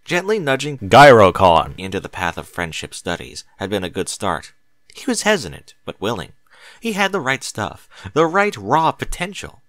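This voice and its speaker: A man's voice: fairly low pitch, huge pitch swings, normal speed, a bit bright, no room sound. A man reading a story aloud as scripted narration, with dramatic, emphatic delivery. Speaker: audiobook narrator of fan fiction.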